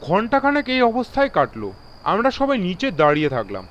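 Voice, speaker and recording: A man's voice: high voice, wildly swooping pitch, a little fast, dull audio, pitched above the performer's own voice. A man voicing an alarmed, authoritative railway guard in a horror audio story, speaking emphatically and mixing in English phrases. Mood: uneasy, amazed. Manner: emphatic, mixes English phrases into his speech.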